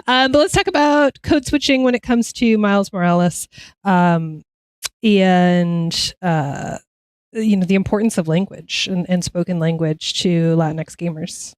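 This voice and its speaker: A woman's voice: lowish voice, measured pace, dry recording. Enthusiastic woman hosting an interview. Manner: enthusiastic, supportive listener. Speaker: podcast host. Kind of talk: podcast interview.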